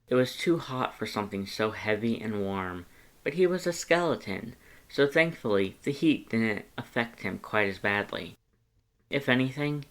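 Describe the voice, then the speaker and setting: A man narrating fan fiction in an expressive audiobook style. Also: lowish voice.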